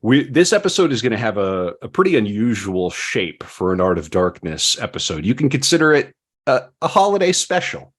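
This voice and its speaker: A man's voice: lowish voice, very animated, brisk. A man hosting a podcast in casual, playful banter with a jokey, theatrical way of talking. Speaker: podcast host.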